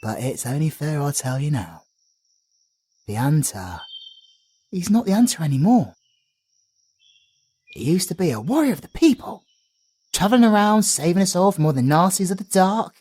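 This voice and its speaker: A man's voice: on the high side, very animated, moderate pace, long pauses, a little mellow, slightly rough. A man playing a chatty British travelling bard. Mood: grave. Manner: chatty, doting, slangy. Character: cheerful chatty male travelling bard, young adult. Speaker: audio roleplay performer. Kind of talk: ASMR audio roleplay.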